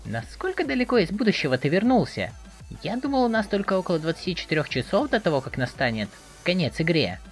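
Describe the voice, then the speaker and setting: A woman's voice: lowish voice, fast, soft background music. A woman playing an eager, naive teenage boy, chatty and inquisitive, in a dramatic webcomic reading. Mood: curious. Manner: excitable, inquisitive, expressive.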